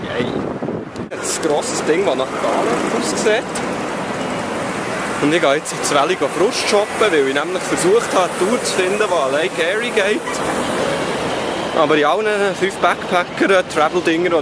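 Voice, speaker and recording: A man giving casual, wry travel vlog commentary while out and about in a city. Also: mid-range voice. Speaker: travel vlogger. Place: outdoors in a city park and streets. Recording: very noisy outdoor street and park audio.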